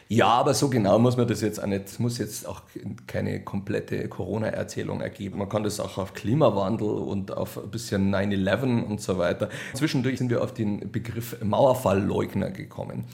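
Low, gravelly Bavarian man talking casually. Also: fairly even intonation, moderate pace, hardly any pauses, darkish tone. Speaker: radio play author and director being interviewed. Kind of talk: casual interview talk.

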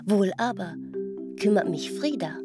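Actress doing stylized indignant narrator, drawn out and highish, loud glockenspiel and marimba music. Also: female voice, animated, unhurried, natural voice. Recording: loud mallet percussion music.

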